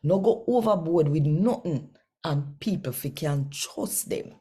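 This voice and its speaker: A woman with a Jamaican accent reads scripture and speaks casually to her listeners. Her voice is low and mellow, with a husky edge. She takes her time, in a folksy, conversational way. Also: expressive, a little slow. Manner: warm, folksy, conversational Creole phrasing. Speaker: scripture reader. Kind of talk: daily scripture reading and casual address.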